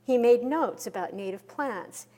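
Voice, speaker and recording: A soft-spoken woman giving a measured conference presentation from a podium. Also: a little high, animated. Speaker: conference speaker. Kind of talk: presentation talk.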